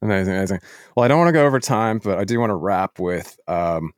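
A man hosting a casual interview, speaking in a halting, appreciative way to his guest. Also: lowish voice, lively intonation, clear, resonant tone, no room sound, clean studio sound. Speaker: podcast host.

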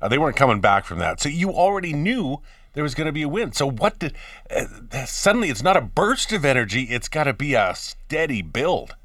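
A man's voice: medium pitch, very animated, brisk, fairly bright tone, no room sound, studio quality, far from the mic. A man hosting a casual interview, asking long, colourful, slangy questions. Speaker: radio show host. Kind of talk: casual, chatty interview banter.

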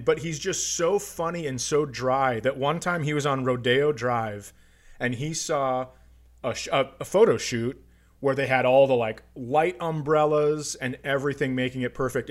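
Male comedy podcaster, medium pitch, casual banter, emphatic storytelling, amused, sweary.

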